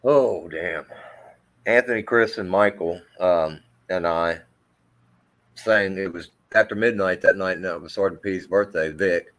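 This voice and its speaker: A man with a deep, low voice that carries, an army veteran in middle age. He tells his story haltingly, circling back with fillers and restarts. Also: fairly bright tone. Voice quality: deep, carrying voice. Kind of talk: emotional storytelling in interview.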